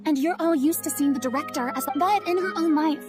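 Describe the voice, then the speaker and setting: Actress as high-voiced, theatrical young woman. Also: fast talker, over music, natural voice. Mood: stern, impassioned. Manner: articulate, theatrical, emphatic. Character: theatrical young woman, poised, commanding and compassionate. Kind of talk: anime game voice acting.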